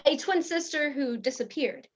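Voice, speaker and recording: Radio soap parody heroine played by a woman: high-pitched, melodramatic, besotted and secretive; muffled lo-fi sound. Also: moderate pace, slight background noise, lightly acted. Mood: confessing. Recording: dull lo-fi recording.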